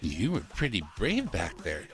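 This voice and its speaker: Earnest, supportive teen male reader, script drama, bright tone, extremely lively intonation. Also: deep voice, normal speed, faint music behind, full character voice.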